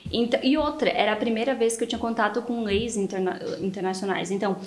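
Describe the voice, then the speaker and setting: A woman's voice: on the high side, quick pace. A Brazilian woman with a Brazilian Portuguese accent, a lawyer telling long rambling stories as a podcast guest. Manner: rambling storyteller, full of "então, assim" fillers. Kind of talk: casual interview chat. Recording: echoey room.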